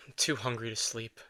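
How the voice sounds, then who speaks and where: A man's voice: mid-range voice, sharp tone, no echo. An actor playing an anxious, brooding male vampire writer in an intimate audio drama, with a hesitant, stammering delivery. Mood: weary, guarded.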